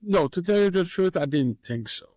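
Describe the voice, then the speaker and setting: A man, a master distiller answering interview questions in a relaxed, hesitant way with many fillers and easy laughs. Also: sits high, normal speed, dry recording. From Haiti.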